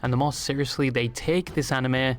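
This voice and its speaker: A man narrates a scripted video essay in a sardonic way. His voice has an ordinary male pitch, neither deep nor high. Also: fairly even intonation, a little fast.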